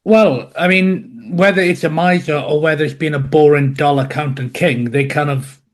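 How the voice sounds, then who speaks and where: A man's voice: on the high side, fairly even intonation. Welsh man, dryly humorous historian. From West Wales. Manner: measured, explanatory, dryly humorous. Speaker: guest historian. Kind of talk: podcast interview.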